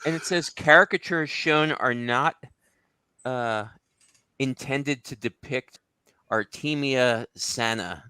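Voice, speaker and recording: A man chatting casually and jokingly as a show regular, in a halting, meandering way. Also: mid-range voice, bright, forceful tone, clean tone, no echo, poor audio. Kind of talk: casual comedic chat.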